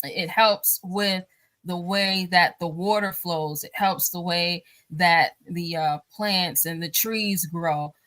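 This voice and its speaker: Soft-pitched woman talking in a rambling way. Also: slow, no echo. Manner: rambling, many fillers and restarts; laughs often while talking. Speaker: guest. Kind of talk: casual talk show conversation.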